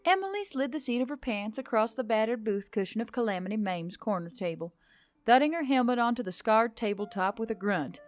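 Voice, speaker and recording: A woman's voice: fairly high pitch, expressive, a little fast, no echo. A woman reading scripted fiction as an audiobook narrator.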